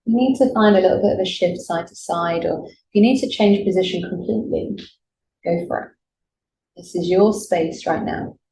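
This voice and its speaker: A woman with a slightly low voice leads a guided relaxation as a yoga nidra teacher. She speaks gently and soothingly, leaving space between her instructions. There is noticeable background noise and ambience in the recording. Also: rough recording. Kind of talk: guided meditation.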